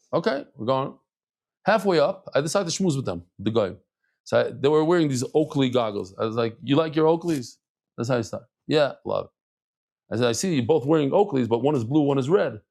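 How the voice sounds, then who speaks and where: A middle-aged man teaches a daily Talmud class in an easy, conversational way. His voice sits mid-pitch but jumps up and down with lively swings, and he scatters Hebrew and Yiddish terms through his talk. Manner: animated, chatty, mixes in Hebrew and Yiddish terms.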